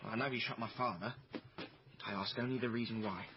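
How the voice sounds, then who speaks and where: An actor playing an inquisitive, awkward young heir in a radio drama, earnest and hesitant. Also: male voice, on the low side, steady tone, fast talker, lightly acted. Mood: curious. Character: inquisitive, awkward thirteen-year-old boy, newly found heir. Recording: dull, noisy radio drama recording.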